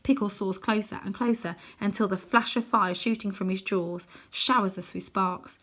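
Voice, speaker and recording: Woman storyteller reading a kids book, really bright forceful voice, dramatic delivery. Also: medium pitch, moderate pace. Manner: dramatic, animated emphasis. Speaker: storyteller reading a children's novel aloud. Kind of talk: expressive children's storybook narration.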